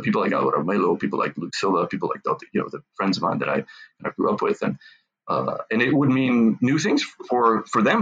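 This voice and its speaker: A lower-pitched man with a husky edge, thinking aloud as he answers in an interview. He is from Massachusetts and speaks haltingly, restarting sentences and repeating words. The recording sounds dull and slightly lo-fi. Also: fairly bright tone. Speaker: author and academic.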